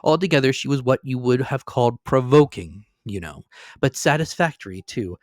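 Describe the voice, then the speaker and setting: A man narrating a classic novel as a dramatic, expressive storytelling reading. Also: medium pitch, moderate pace, no room sound, studio quality.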